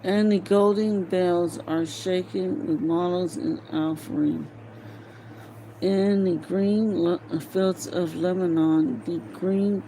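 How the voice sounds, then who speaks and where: A woman reads aloud in a soft-edged, mellow voice at an unhurried pace. She stumbles over words, restarts and drops into casual muttering to herself. The homemade recording carries some faint background noise. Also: lowish voice, rough recording. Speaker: amateur reader of a novel aloud. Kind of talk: informal read-aloud with casual asides.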